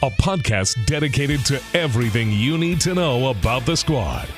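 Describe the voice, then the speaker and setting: Male announcer over loud guitar music. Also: medium pitch, animated, normal speed. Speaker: podcast intro announcer. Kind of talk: scripted promotional intro read.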